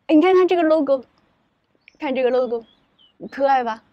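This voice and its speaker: Chatty upbeat woman vlogger, higher voice. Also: fairly quick, lo-fi sound. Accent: Shanghai Mandarin. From Shanghai, China. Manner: chatty and upbeat, talks straight to the viewer. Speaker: travel vlogger. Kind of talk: casual vlog narration. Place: garden and wooden café, mostly outdoors. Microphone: small clip-on mic on the shirt. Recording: soft music underneath.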